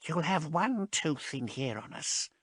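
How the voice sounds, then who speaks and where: A timid little abhuman man in an audiobook, as the male narrator puts him on, pitched high and kept hushed. He pleads in a fearful, resigned way, with bright, clean tone and dry sound. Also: expressive, measured pace, dry recording.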